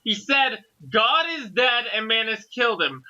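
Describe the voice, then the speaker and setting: A man's voice: very high voice, animated, a little slow, bright, forceful tone, muffled recording. A man giving casual commentary as part of a group discussion.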